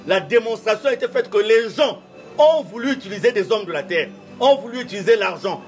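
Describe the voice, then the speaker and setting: Measured, oratorical man, high voice. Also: lively intonation, soft background music. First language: not French. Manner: measured, repetitive, oratorical emphasis. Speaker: church official giving an interview. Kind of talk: formal interview statement.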